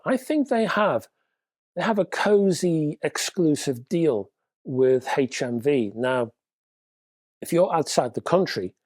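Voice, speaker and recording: A man's voice: mid-range voice, a little mellow, dry recording. A man hosting a hi-fi news roundup, reading out product details in a casual, chatty way with offhand asides. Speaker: host.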